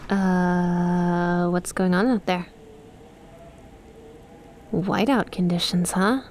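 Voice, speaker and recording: A woman's voice: on the low side, steady tone, very slow, a little mellow, a little hiss or hum. A woman playing an affectionate young snake-girl best friend in an ASMR roleplay, teasing and chummy as she talks to the listener. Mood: upbeat, friendly.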